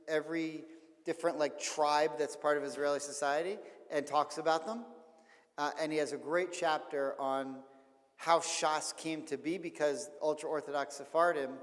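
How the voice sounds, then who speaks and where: Chatty rabbi man in a reverberant hall. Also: medium pitch. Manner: chatty, frank, repeats words while thinking. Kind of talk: casual lecture with audience questions.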